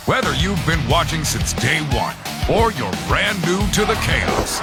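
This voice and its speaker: Over loud guitar music, a male announcer delivers a scripted wrestling promo. His voice sits fairly high and swings widely in pitch, pushing each phrase with emphatic hype. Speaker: commercial announcer.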